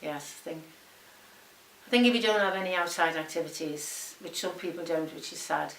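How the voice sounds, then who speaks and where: A woman's voice: on the low side, moderate pace, a bit bright. A woman. She talks as an interviewee in a roomy space, with faint hiss on the recording. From Liverpool, England. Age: 70s. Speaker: documentary interviewee. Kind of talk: unscripted interview answers.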